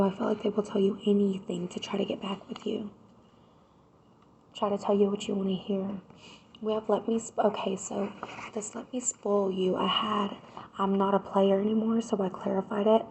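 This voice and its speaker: A woman, hoarse from a cold, doing a casual tarot reading and chatting straight to her viewers. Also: medium pitch, a little mellow, slight background noise. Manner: chatty, addresses viewers directly with repeated "right?". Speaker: tarot reader.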